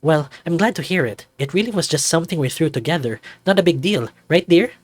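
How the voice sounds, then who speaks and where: Man playing a fussy, genteel gourmet bat, husky-voiced. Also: on the high side, expressive, brisk, no echo, studio quality, faint music behind, pitched above the performer's own voice. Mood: modest. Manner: formal, genteel, presentational. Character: refined, fussy male gourmet bat monster host, easily flustered.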